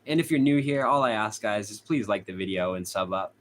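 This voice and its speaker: A man's voice: fairly low pitch, lively intonation, fairly quick, slight background noise. A young man with a Boston accent hosting a casual true crime livestream, chatting with viewers, reading their comments aloud and laughing often. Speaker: true crime channel host. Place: hot room at home.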